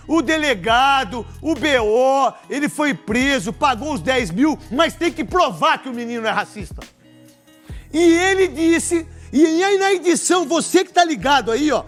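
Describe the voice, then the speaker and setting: A man's voice: extremely high pitch, a bit bright. A man, a pundit in a clip from a talk show, animatedly retelling a dressing-room exchange and acting out both sides.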